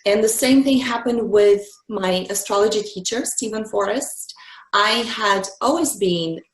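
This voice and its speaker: A woman in her forties from Bulgaria, answering interview questions in a reflective way with many pauses. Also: mid-range voice, roomy sound, low-quality recording. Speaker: guest.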